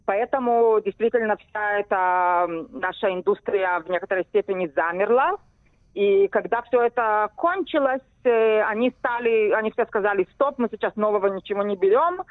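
A woman's voice: medium pitch, moderate pace, dry recording. A woman answering interview questions conversationally as a guest over a narrow telephone line. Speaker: interview guest, poet and literary editor. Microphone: phone call.

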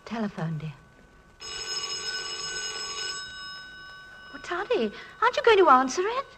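Playful devoted wife, high pitch, very lively intonation, affectionate, theatrical, 1940s radio acting, slight background hiss. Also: female voice, fast. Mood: urgent, coaxing. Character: devoted, playful, naive wife of college president. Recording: faintly noisy 1940s radio recording.

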